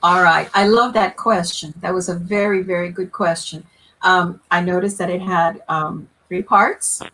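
A woman's voice: fairly low pitch, steady tone, moderate pace, fairly bright tone, slight background noise, rough recording. A woman, an author and former librarian, answering a panel question over a video call in an earnest, thorough way with self-deprecating asides. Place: home room on a video call.

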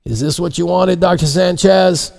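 A man voicing a courteous, brisk male helicopter pilot as a character in an audiobook. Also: sits high, creaky, darkish tone, voice pushed up. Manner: polite, brisk, professional.

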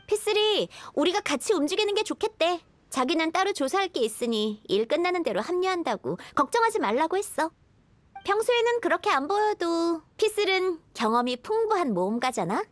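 A woman's voice: very high-pitched, big pitch swings, brisk, no echo. A woman playing an earnest, composed, articulate young woman astrologer in a video game.

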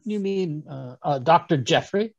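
A man's voice: on the high side, animated, a little slow, gravelly, highs cut off, full character voice. A man playing a flustered, bumbling doctor who stammers his way through an old-style radio play. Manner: hesitant, stammering. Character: flustered, bumbling male doctor, a detective's companion. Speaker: actor. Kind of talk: 1930s radio acting.

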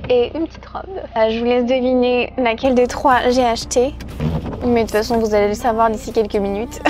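A young adult woman, chatting casually to the camera as a vlogger. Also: fairly high pitch. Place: small furnished room at home, later inside a parked car. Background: background music.

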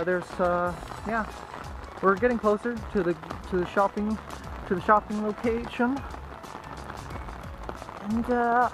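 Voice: medium pitch, poor audio. A vlogger chatting casually into a handheld phone in a playful, rambling way. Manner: playful, rambling, breaks into silly singing. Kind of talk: casual vlog chat. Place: handheld while walking outdoors, in a store and in a room.

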